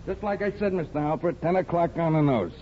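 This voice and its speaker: A man's voice: fairly high pitch, lively intonation, a little fast, close to an everyday voice. An actor playing a cocky, sardonic tough guy with a menacing, needling manner in a 1950s radio drama. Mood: sly, taunting. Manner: sardonic, taunting. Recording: dull, husky-sounding old radio recording.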